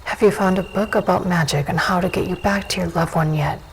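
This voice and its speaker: A self-assured female dusk elf, acted by a woman with a lowish voice. She is composed and matter-of-fact, a probing host in a dark fantasy audio drama. Light ambient noise runs behind her. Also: brisk, lightly acted, rough recording. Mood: composed, hospitable.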